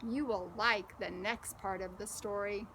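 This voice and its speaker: A woman's voice: mid-range voice, fairly even intonation, unhurried, bright, forceful tone, slight background noise, low-quality recording. A woman voicing a patient, playful girl who explains things to her younger cousin in a children's storybook. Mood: patient, explaining.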